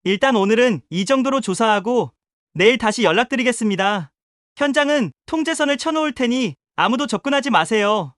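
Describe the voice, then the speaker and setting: A brisk, formal police detective, voiced by a woman. The questions come politely, with a lively rise and fall in pitch. The recording is close and completely dry. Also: dry recording, natural voice. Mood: businesslike. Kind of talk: audio drama acting.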